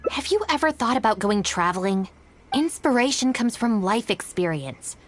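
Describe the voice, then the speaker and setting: Composed, sly shrine maiden. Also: female voice, a little high, lively intonation, moderate pace. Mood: composed, advising. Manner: articulate, cool, faintly teasing advice-giving. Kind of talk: video game voice acting. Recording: music behind the voice.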